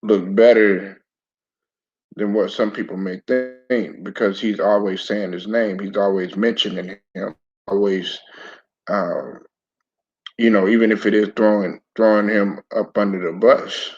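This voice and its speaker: A man with a low, raspy voice, hoarse from a throat bug, talks slowly and loosely to his viewers about sports. He keeps stopping, restarting and clearing his throat. The sound is dull and lo-fi, like a cheap home setup. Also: fairly even intonation, darkish tone. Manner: laid-back, stop-start, repeats words. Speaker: sports commentary channel host. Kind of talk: casual live-stream talk to viewers.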